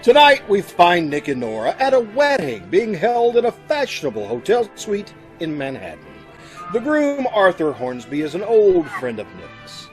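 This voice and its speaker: Guy doing old timey radio mystery narrator, suave, dramatic, highish n very swoopy. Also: huge pitch swings, normal speed, soft background music, poor audio. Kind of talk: scripted 1940s radio narration.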